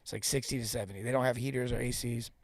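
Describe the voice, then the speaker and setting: A man in his forties hosting a casual live-stream coaching talk, joking and rambling off into asides with informal, animated banter. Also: mid-range voice, rapid-fire, no room sound. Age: 40s. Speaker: host and sales coach for plumbers.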